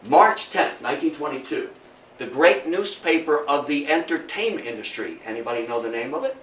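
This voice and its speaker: An older man giving a public history lecture in a theatrical, emphatic storytelling manner, with dramatic pauses and rhetorical questions. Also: fairly high pitch, lively intonation, a bit bright. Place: indoor talk room before an audience. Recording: roomy, distant mic, faint noise.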